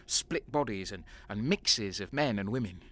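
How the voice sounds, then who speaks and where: A man playing a brooding, cynical soldier in an audio drama, recounting dark deeds in a halting, sombre, bitter way. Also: fairly low pitch, animated. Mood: haunted. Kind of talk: dramatic first-person narration and audio drama acting.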